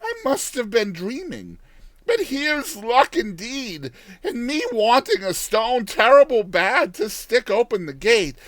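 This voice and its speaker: A man's voice: very high voice, sing-song, slow pace, a bit bright, dry recording, voice pushed up, exaggerated voice. A man voicing a cheery, ever-optimistic old countrywoman from a storybook, exclaiming in folksy rustic speech. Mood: delighted.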